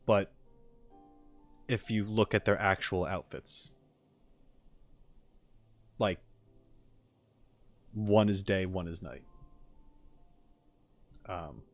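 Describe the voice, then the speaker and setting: A man doing casual live reaction commentary as a game streamer, musing and haltingly thinking aloud. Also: low-pitched, no echo, soft background music. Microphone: close streaming mic.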